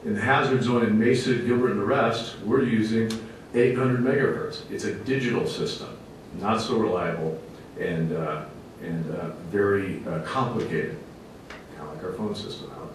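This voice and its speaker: A man speaking as a fire officer giving a public presentation to a committee, in an emphatic, plainspoken, folksy way. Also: normal speed. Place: large echoey indoor meeting room. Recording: very echoey room, distant mic, faint rumbling noise.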